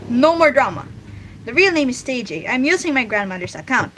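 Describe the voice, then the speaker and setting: Filipino woman streamer, bright and a little high, casual rambling chat, faint hiss. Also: sing-song, fairly quick, rough recording. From Philippines. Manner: chatty, rambling with many restarts. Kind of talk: casual livestream chat while drawing.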